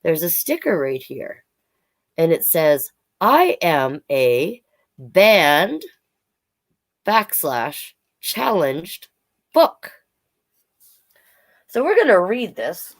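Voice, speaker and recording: A woman with a Canadian accent reading picture books aloud to children, playful and expressive, with chatty asides. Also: low-pitched, takes it slowly, long pauses. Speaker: drag performer hosting children's storytime.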